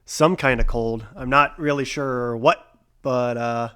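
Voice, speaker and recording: A man's voice: mid-range voice, fairly even intonation, takes it slowly, fairly bright tone, clear, resonant tone. A young man hosting a casual sports recap, talking with a stuffy nose from a cold, rambling with lots of fillers and restarts. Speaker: podcast host.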